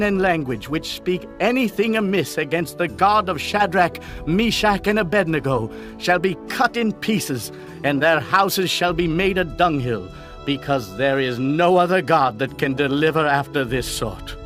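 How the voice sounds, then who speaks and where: A man's voice: on the high side, lively intonation, moderate pace, a bit bright. A man playing a proud, commanding ancient king in a dramatized scripture reading, declaiming in stately archaic English. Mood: proclaiming. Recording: music bed under the voice.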